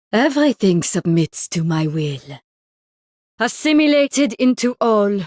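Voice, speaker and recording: A woman's voice: on the high side, dry recording, studio quality. An actress playing an imperious, detached cosmic being in a video game, solemn and grandiose in her declamation. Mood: commanding. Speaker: game character voice.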